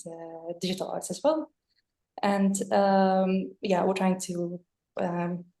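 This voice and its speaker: A young woman from Lebanon speaks fluently and in a friendly way, with a few fillers. Her voice is mellow and fairly flat in pitch. She is heard in an echoey room. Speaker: panelist, peacebuilding coordinator. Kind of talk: online panel introduction.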